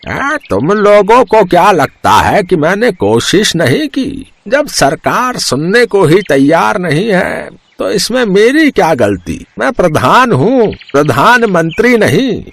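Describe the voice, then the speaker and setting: Man acting a creaky, scheming village headman. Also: high-pitched, big pitch swings, a bit bright, no echo, exaggerated voice. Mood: defensive, indignant. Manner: indignant, boastful, drawn-out delivery. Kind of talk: dramatized moral story acting.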